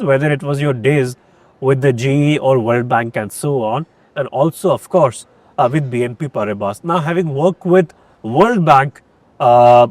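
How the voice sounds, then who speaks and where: A man interviewing someone, building up to his questions in a wordy, halting way. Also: mid-range voice, darkish tone, no room sound.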